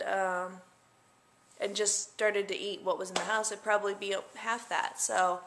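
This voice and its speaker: A woman's voice: mid-range voice, fairly bright tone. A young adult woman chatting casually to camera in a diary-style vlog, rambling with lots of fillers. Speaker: vlogger.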